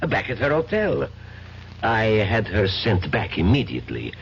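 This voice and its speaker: An actor playing a suave, menacing foreign man with a smooth, threatening manner, in an old radio drama. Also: lowish voice, huge pitch swings, a little hiss or hum, exaggerated voice. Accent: foreign, calls others Monsieur. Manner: smooth, slow, mocking and threatening. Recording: dull old radio broadcast.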